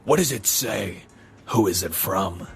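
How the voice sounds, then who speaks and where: A man's voice: low voice, expressive, measured pace, soft background music. A man acting as a stern, righteous masked vigilante in an audio drama, speaking in a solemn, formal, commanding way. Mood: stern, menacing.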